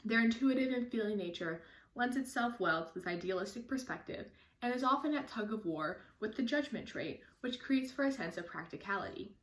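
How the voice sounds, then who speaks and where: A woman's voice: fairly high pitch, expressive, fairly quick, echoey room. A teenage girl earnestly reading a prepared personal statement for a scholarship application. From United States. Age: teens.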